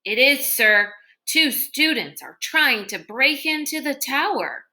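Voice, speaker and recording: A woman's voice: sits high, lively intonation, takes it slowly, bright, forceful tone, pitched above the performer's own voice, full character voice. A woman voicing a nervous, bumbling male henchman in a storybook, deferential, hesitant and stumbling over words. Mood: anxious.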